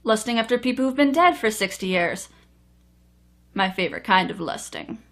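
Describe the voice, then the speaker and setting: A woman's voice: medium pitch, lively intonation, moderate pace, vocal fry, fairly bright tone, soft background music. Sardonic woman film reviewer. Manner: sardonic, swears casually.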